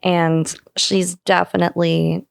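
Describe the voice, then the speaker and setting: A woman's voice: lowish voice, level tone, takes it slowly, creaky, clean tone, no echo, studio quality. A woman co-hosting a podcast, reacting bluntly and emphatically in casual chat. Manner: blunt, emphatic, swears freely. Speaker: podcast co-host.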